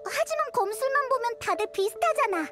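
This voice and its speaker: A woman voicing a tiny, cheeky, childlike fairy companion in a video game, expressive and quick to exclaim. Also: very high-pitched. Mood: curious, chatty. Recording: loud music over the voice.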